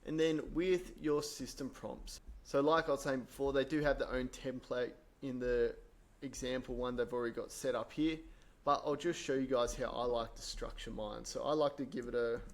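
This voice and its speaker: A man presenting a software tutorial in an informal, explanatory way, thinking aloud as he works through each step. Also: medium pitch, steady tone, moderate pace. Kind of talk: casual screen-share software tutorial.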